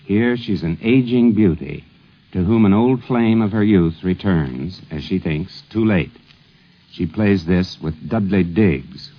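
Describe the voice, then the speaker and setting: A man's voice: low-pitched, measured pace. A man introducing a scene as a 1950s radio announcer, reading scripted narration. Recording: muffled 1950s radio recording.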